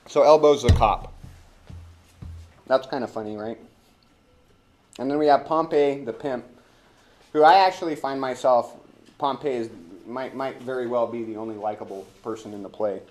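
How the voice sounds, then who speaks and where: A man teaching literature in an informal classroom lecture, with a halting, rambling and wry delivery. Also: mid-range voice, darkish tone.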